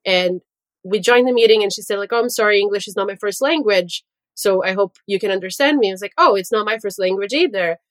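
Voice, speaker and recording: A woman's voice: mid-range voice, quick pace, no echo. A young woman from Brazil, whose first language is Portuguese, chatting as a podcast guest and laughing through her stories. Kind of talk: casual chat.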